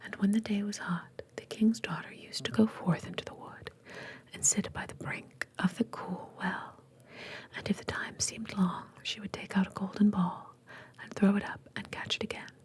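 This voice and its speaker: A woman reading fairy tales aloud in a breathy, unvoiced ASMR whisper, slow and deliberate. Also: medium pitch, fairly even intonation. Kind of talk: whispered ASMR storytelling with asides.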